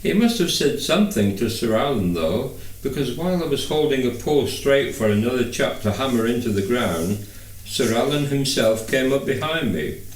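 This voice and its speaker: A man's voice: mid-range voice, lively intonation, normal speed. A man reading a first-person novel aloud as scripted narration. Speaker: audiobook reader. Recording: echoey room, distant microphone.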